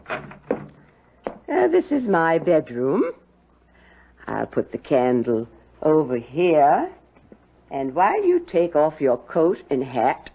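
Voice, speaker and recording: Stern bossy old-house aunt, low and husky, radio play, muffled narrow sound. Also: female voice, exaggerated voice. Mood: brisk, instructing. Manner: brusque, commanding, strict. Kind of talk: 1970s radio drama acting.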